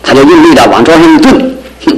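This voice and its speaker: A man's voice: on the high side, lively intonation, fast talker, a little hiss or hum, lightly acted, rough recording. A man acting in a radio drama as an impatient but loyal friend, speaking in exclamations. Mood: resigned.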